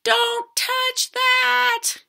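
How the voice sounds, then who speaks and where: A woman voicing an earnest, protective father in a storybook, giving emphatic, drawn-out warnings. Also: mid-range voice, big pitch swings, creaky, sharp tone, exaggerated voice. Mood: alarmed. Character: earnest, protective father, adult man, warning his daughter.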